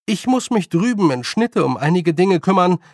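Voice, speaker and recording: Audiobook role: bossy, good-humoured old witch woman played by a man, high voice, animated, stern and teasing. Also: moderate pace, dry recording, clean studio sound. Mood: practical. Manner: loud, stern, teasing, colloquial scolding. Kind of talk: audiobook character voice.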